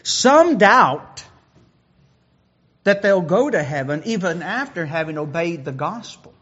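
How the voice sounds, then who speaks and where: A man's voice: high voice, sing-song, takes it slowly, fairly bright tone, muffled recording. A man preaching a sermon who often repeats words as he builds a point.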